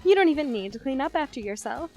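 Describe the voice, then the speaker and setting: An actress playing a suggestive, salesy announcer in a parody radio advert within an audio drama. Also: female voice, sits high, expressive, fast talker, a little mellow. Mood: smooth selling. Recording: music bed under the voice.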